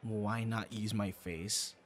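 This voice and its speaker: A man playing a bashful young man with a secret hobby in a boyfriend audio roleplay, talking in a halting, flustered, conversational way. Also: fairly low pitch, steady tone. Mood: bashful, sincere. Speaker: ASMR audio roleplay performer. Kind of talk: intimate boyfriend ASMR roleplay acting. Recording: clear background noise, ambience.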